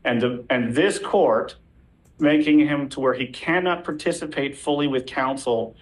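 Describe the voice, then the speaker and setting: A man's voice: mid-range voice, measured pace, poor audio. A man speaking as a defense lawyer in a courtroom, making an insistent but formal, courteous legal argument.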